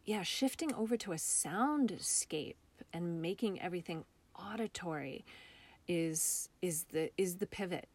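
An American woman speaking as a guest artist in a reflective interview, thoughtful and halting as she searches for words. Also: on the low side, lively intonation. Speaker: guest, local artist and theatre maker.

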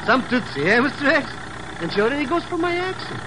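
An actor playing an excitable, chatty small-time hustler with a foreign European accent in an old-time radio drama. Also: male voice, very high-pitched, fast talker. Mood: excited, boastful. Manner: chatty, slangy, garbled wording, flustered. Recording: noisy vintage 1950s radio recording.

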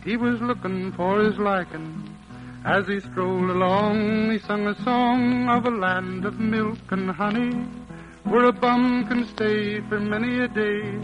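High-voiced folksy hobo of 1940s radio. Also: male voice, fairly even intonation, unhurried, lo-fi sound, over music. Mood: easygoing singing. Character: genial hobo rail-yard worker, folksy schemer. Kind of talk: 1940s radio acting with folk singing.

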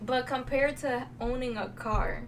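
Female podcast co-host, somewhat high voice, casual and colloquial, slightly distant recording with light noise. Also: steady tone, measured pace. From New York City. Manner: informal, colloquial. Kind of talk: casual conversational podcast chat. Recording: distant mic, faint background noise.

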